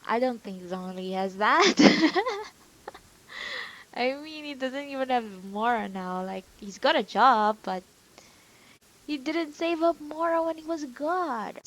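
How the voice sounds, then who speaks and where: A woman commenting casually on a game. Her voice sits a little high and she takes her time, musing informally and a bit giggly. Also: expressive, slow pace, fairly bright tone, poor audio. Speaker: gaming video host.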